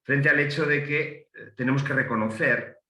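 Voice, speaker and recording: A man's voice: mid-range voice, steady tone, normal speed, pushed and bright. A middle-aged man with a Galician accent, an economist speaking on a panel, hesitant with many fillers and restarts. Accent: Galician Spanish. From Galicia, Spain. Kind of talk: panel talk. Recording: roomy echo.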